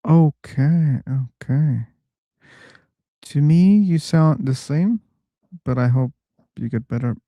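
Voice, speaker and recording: Guy hosting an online voice room, talks slow and easy, laughs a lot, dry close mic. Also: a little high, no room sound, highs cut off. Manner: relaxed, chatty, laughs easily. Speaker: host of a live discussion room. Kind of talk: casual live-stream chat.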